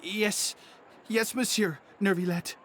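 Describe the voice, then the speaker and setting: A woman's voice: mid-range voice, fairly even intonation, takes it slowly, slight background noise, lightly acted. An actress playing a cowed, nervous young woman underling in a video game, hesitant and stammering. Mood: nervous, frightened.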